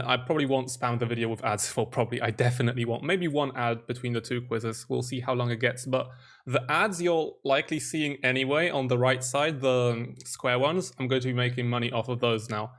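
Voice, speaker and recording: A man's voice: mid-range voice, steady tone, brisk, fairly bright tone. A young man hosting his own channel, thinking aloud as he works through a language quiz and spelling out letters while he guesses.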